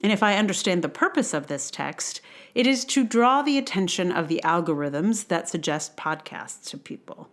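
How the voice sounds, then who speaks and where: A woman reads a personal essay aloud in a voice pitched a little low. Her delivery is scripted yet candid, with wry, measured emphasis on key phrases. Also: animated. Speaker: writer and podcaster reading an essay.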